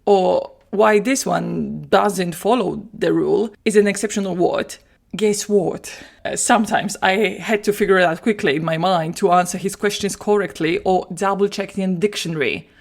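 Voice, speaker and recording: A woman's voice: medium pitch, normal speed, gravelly. A woman from Poland with a Polish accent, a language teacher talking through her solo podcast and putting questions to the listener. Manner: chatty, asks the listener questions.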